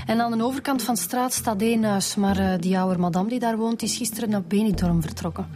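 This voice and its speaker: A woman with a Flemish accent playing a calm, matter-of-fact police detective in a radio drama, informal and reassuring. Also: medium pitch, a little fast, close to an everyday voice.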